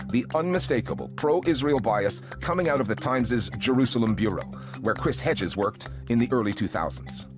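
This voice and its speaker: A man narrating a news documentary in a measured, pointed, drily ironic scripted read. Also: mid-range voice. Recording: voice-over over clear music.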